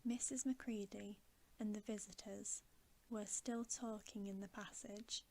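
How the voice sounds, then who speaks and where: A woman reads a bedtime story in a soft, hushed voice that often slips into whispering. Her delivery is slow and very even, with little rise or fall. It is a soothing ASMR narration. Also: medium pitch, slight background noise. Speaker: ASMR storyteller, channel host.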